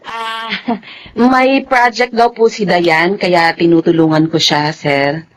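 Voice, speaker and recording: An actress playing a humble, gentle Filipino nanny and mother with a deferential, hesitant manner in a radio drama. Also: lowish voice, steady tone, slow pace. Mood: meek.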